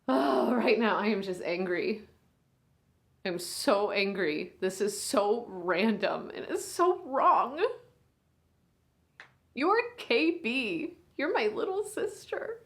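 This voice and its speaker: Grieving big sister, tired, emotional, hushed to raised voice, woman performing a stage monologue. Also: medium pitch. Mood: angry, fond. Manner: emotional, shifting between hushed whispers and raised anger. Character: weary grieving young big sister at her sister's bedside.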